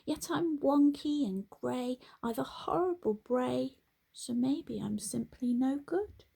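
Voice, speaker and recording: A woman voicing a small, wonky grey female donkey in a storybook, with a high, wailing, pleading voice. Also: slow pace, creaky, dark tone, husky, full character voice. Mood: despairing.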